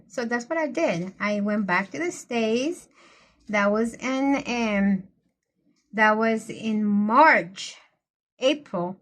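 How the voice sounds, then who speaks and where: Middle-aged woman with a Colombian accent, coloring vlogger, chatty and rambling, slightly high voice, unhurried delivery. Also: fairly bright tone. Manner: chatty, meandering, frequent restarts. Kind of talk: casual chat while coloring.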